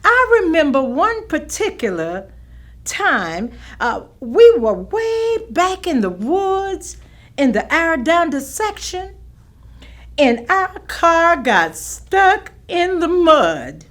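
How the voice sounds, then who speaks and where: An actress playing a proud, firm veteran schoolteacher looking back in a staged reading. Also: female voice, extremely high pitch, big pitch swings, takes it slowly, fairly bright tone, heavily acted. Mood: proud, reminiscing. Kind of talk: staged monologue of oral history recollections.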